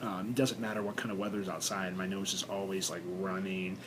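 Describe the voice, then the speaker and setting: A young man with a congested, stuffed-up voice, talking haltingly in a personal video diary with many fillers and restarts. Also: level tone. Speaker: vlogger. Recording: noisy recording with low rumble.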